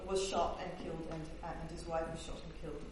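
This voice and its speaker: A woman's voice: deep voice, little pitch movement, quick pace, a little mellow. Woman academic giving a hesitant book talk, heard from a distance in a very echoey hall. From Netherlands, raised in New Zealand. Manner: hesitant, many fillers and restarts. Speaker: historian presenting her book. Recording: echoey hall, distant mic, faint hiss.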